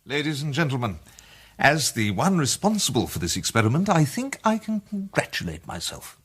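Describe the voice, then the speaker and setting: An actor with an English accent playing an urbane, self-assured gentleman detective, polished and theatrical in a radio drama. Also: mid-range voice, very animated, normal speed, a little hiss or hum, lightly acted. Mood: confident, enthusiastic. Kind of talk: British radio drama acting.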